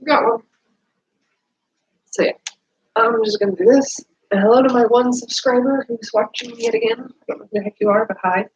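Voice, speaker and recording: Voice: mid-range voice, lively intonation, moderate pace, pauses often, breathy edge, highs cut off. A streamer who is starting a cold and sounds sniffly, chatting casually while wrapping gifts at home and rambling apologetically to the viewers and to themself. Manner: rambling, apologetic, talks to self. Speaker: streamer and writer. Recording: noisy home recording.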